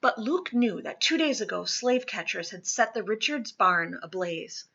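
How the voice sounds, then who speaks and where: A woman reading a children's historical story aloud, expressively and dramatically, with emphatic swells and hushed drops. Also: medium pitch, expressive, moderate pace, creaky, lo-fi sound, a little hiss or hum. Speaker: storybook reader.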